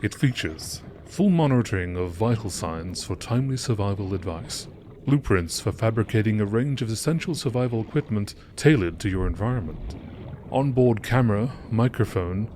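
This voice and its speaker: A man with a fairly low voice reads scripted text aloud. He narrates it in the style of a nature documentary. A faint ambient rumble sits behind his voice. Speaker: video narrator reading game text aloud. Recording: steady background noise.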